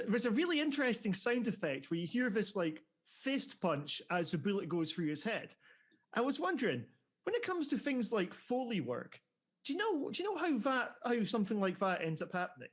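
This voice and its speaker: A man co-hosting a casual chat, asking curious questions. His voice is high, with a lively, swooping pitch. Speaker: co-host.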